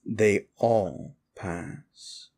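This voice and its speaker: Man playing a low, slow, sly demon. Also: a little mellow, heavily acted. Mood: sly, brooding. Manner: slow, teasing, condescending. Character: sly, evil male demon, a taunting manipulator. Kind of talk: intimate ASMR audio roleplay.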